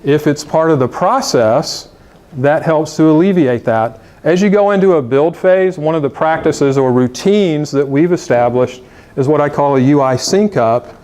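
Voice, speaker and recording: Emphatic higher-pitched man on stage. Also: normal speed, a little mellow. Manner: emphatic, exhortative, with fillers. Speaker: conference speaker. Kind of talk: conference presentation. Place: conference room.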